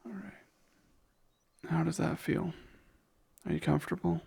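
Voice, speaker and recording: Protective vampire caretaker played by a man, slightly high male voice, unhurried, soft and intimate ASMR delivery, tender and courteous. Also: fairly even intonation, vocal fry, slightly rough, exaggerated voice. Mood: tender concern. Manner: tender, soothing, hesitant, formal wording.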